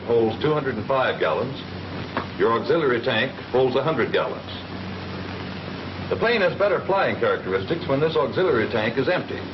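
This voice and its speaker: A man's voice: mid-range voice, close to an everyday voice. A man playing a confident American Army captain, plainly and methodically instructing pilots in the style of a 1940s training film. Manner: plain-spoken, methodical explaining. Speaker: flight instructor, military captain. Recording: noisy, dull 1940s film soundtrack.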